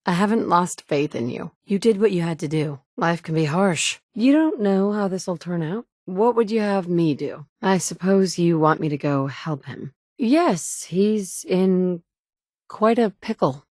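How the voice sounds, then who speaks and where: A woman's voice: on the low side, lively intonation, a little slow, darkish tone, dry recording, clean studio sound. A woman voicing a tough, blunt female survivor in a game, with curt, commanding lines. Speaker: player character. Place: recording studio. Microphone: close studio mic.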